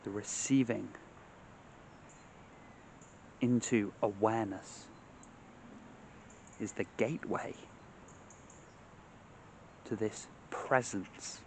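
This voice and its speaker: A man leading a guided meditation in measured, short phrases separated by long pauses, speaking outdoors. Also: lowish voice, fairly even intonation, a little mellow, a little hiss or hum. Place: outdoors among trees.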